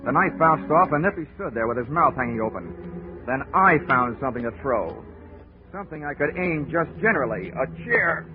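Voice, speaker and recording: A man's voice: a little high, animated, brisk, music in the background, lightly acted. An actor playing a wry, laconic male insurance investigator who narrates a 1940s radio drama. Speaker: narrator and lead. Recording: tinny old radio broadcast.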